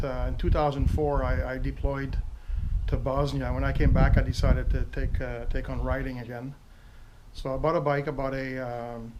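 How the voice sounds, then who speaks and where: A fifty-something man with a thick French Canadian accent talks at an ordinary middle pitch, easygoing and rambling, full of fillers and restarts as he works out what to say. English is his second language. A faint hiss sits under the recording. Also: low-quality recording. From Quebec, Canada. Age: 50s. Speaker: retired military motorcycle owner. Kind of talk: casual home video review.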